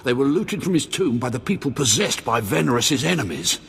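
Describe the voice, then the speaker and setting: An actor playing an anxious former slave posing as a grandiose Sith lord in a video game, husky, theatrical and faltering. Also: male voice, medium pitch, animated, fairly quick, a bit bright, a little hiss or hum. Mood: pleading, cautioning. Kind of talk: video game cutscene acting. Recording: long hall reverb.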